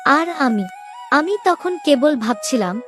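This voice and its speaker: A woman playing a shy, dutiful young bride-to-be in a cartoon family drama, speaking in a soft, sentimental way. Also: high voice, animated, takes it slowly, full character voice. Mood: wistful. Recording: music under the voice.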